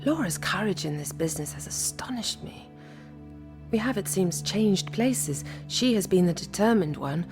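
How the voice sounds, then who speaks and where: An actress playing a protective, anxious Victorian sister with an English accent, formal and direct in a period audio drama. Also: female voice, medium pitch, lively intonation, moderate pace, close to an everyday voice. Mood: reflective. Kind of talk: period radio drama acting. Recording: music clearly behind the voice.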